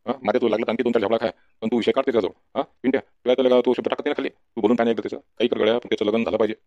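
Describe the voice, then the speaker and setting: Man acting a fast-talking, fretful village father. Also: medium pitch, steady tone, no room sound, close to an everyday voice. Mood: insistent. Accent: Varhadi Marathi. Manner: nagging, folksy. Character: fretful village farmer father, bossy, exasperated by his son. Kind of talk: comedy cartoon dialogue. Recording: dull, lo-fi sound.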